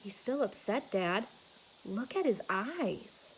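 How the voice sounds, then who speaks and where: A woman voicing an earnest, excitable young girl in a storybook, insistent and eager. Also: medium pitch, slow pace, a little hiss or hum, rough recording. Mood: concerned.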